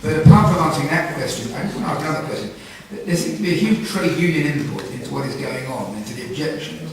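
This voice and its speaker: British male councillor, formal meeting debate, higher-pitched voice, halting delivery, recorded at a distance. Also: animated, noisy background. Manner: halting, repeats phrases. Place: town hall committee room. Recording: echoey hall, distant mic, audience murmur.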